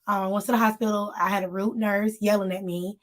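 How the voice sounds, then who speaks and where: Woman co-host, casual live chat, a bit hoarse, speaks right to the viewers. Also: medium pitch, level tone, fast talker. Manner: chatty, addresses viewers directly. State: slightly hoarse, voice still recovering. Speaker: magazine co-host.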